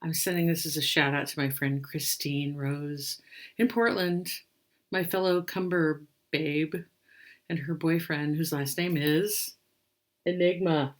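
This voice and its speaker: A woman reading a rhyming picture book aloud as storyteller and host, with expressive, theatrical delivery. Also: low-pitched, measured pace.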